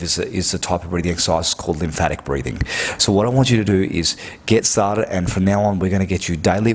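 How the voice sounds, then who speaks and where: A man's voice: low-pitched, slight background noise. A man who works at a health clinic gives an earnest, direct breathing lesson, coaching step by step and often repeating himself. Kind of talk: instructional talk to camera.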